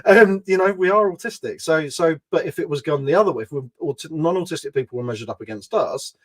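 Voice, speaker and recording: A man's voice: fairly high pitch, animated, fast. A man, an advocate and trainer, speaking earnestly in a conversational interview and explaining at length with lots of "you know" and "kind of".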